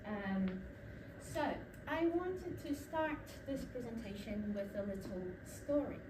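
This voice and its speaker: A young Brazilian academic woman gives a conference presentation with a Brazilian accent touched by New Zealand vowels. Her voice sits a little high, and she often pauses on ums and restarts as she tells her story. She is picked up from across an echoey room, with noise in the background. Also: animated, far from the mic. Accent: Brazilian with a New Zealand tinge. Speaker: academic speaker. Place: lecture room.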